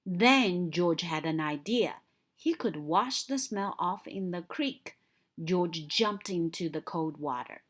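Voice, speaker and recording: Slow-paced woman reading a children's story. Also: fairly low pitch, expressive. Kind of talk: expressive read-aloud storytelling for young children.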